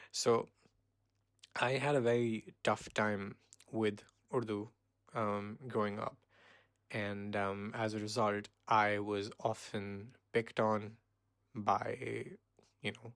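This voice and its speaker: Gravelly, rambling young man from Pakistan. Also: lowish voice, no room sound. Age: 20s. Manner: rambling, many ums and self-corrections. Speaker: podcast host. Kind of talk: casual solo podcast monologue.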